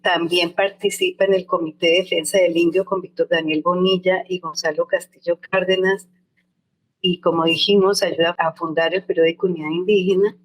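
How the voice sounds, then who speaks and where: A woman's voice: on the low side, flat delivery, moderate pace, low-quality recording. An older woman, an anthropologist answering interview questions in a thoughtful, reflective way, often restarting and hedging as she remembers. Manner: thoughtful, with frequent restarts and hedges.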